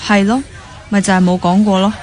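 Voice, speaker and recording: Radio drama part, actress: nosy, teasing young woman dancer, Hong Kong Cantonese accent, average pitch, noticeable music underneath. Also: little pitch movement, brisk, darkish tone, a faint crowd, lightly acted. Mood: playful, teasing. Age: young adult. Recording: music playing behind the dialogue.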